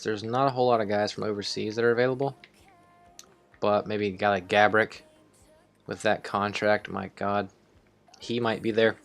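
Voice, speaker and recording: A man's voice: on the low side, fairly bright tone. A man hosting a video game series, thinking aloud in casual, rambling commentary with some offhand swearing. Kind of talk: casual improvised gameplay commentary.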